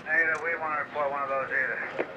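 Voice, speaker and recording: A composed airline pilot in a film drama, with a slightly high voice. He reports in understated radio phrases over a dull, noisy cockpit link. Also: flat delivery, fast. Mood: guarded. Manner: understated, matter-of-fact. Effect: cockpit radio filter. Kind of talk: radio procedure talk in a film.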